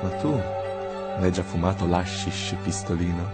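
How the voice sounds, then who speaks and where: A man's voice: low-pitched, monotone, unhurried. An actor playing a cocky, mocking teenage boy in a radio drama, talking in slangy, teasing swagger. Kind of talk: colloquial theatrical radio drama. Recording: loud music behind the voice.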